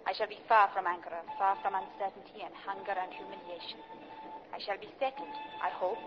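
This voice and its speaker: An actress playing a proud, calculating woman reading out her farewell letter in a 1950s radio drama, formal and deliberate. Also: mid-range voice, close to an everyday voice. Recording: dull, noisy old radio recording.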